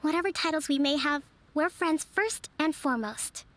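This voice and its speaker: Kokomi, a woman voicing a calm young strategist. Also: high voice, background noise, natural voice. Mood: gentle. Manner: measured, formal and articulate. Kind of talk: game voice acting. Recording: studio voice with soft game music.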